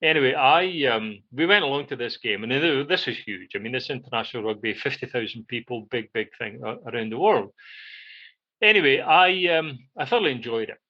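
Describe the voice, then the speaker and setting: A middle-aged or older man from Scotland with a Scottish accent, reminiscing casually as a podcast co-host in a halting, rambling way. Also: mid-range voice, lively intonation. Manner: rambling, halting, frequent "you know". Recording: dull, lo-fi band-limited audio.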